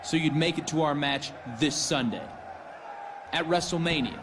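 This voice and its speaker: A man's voice: on the high side, normal speed, lightly acted. A man voicing a cocky young wrestler in a game cutscene, menacing and boastful. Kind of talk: video game cutscene dialogue. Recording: game audio with arena crowd and hiss.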